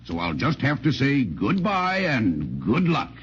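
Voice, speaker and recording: An actor playing a kindly, folksy, gentle giant in a 1930s children's radio serial. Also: male voice, medium pitch, wildly swooping pitch, measured pace. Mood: cheerful. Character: friendly, chuckling, lonesome gentle giant. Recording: dull, hissy 1930s radio recording.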